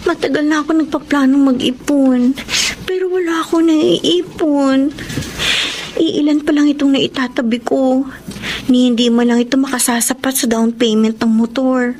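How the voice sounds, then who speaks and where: An actress playing a hardworking, anxious elder sister in a radio drama, speaking in an earnest, emotional way. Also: female voice, high voice, steady tone, moderate pace, a little mellow, slight background noise, lightly acted. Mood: worried, pleading.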